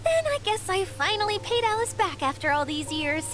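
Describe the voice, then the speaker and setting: A woman voicing a gentle, wistful water fairy in a video game, reflective and soft-hearted as she tells her story. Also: very high voice, expressive, a little fast, very noisy. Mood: tender, hopeful. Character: gentle, wistful female water fairy, lonely but content. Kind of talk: scripted video game voice acting. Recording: game music behind the voice.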